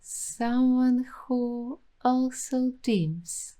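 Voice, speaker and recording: Slow, soft-spoken dreamy woman. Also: on the high side. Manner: slow, dreamy, intimate, addressing the listener directly. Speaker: ASMR roleplay host. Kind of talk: soft-spoken ASMR roleplay.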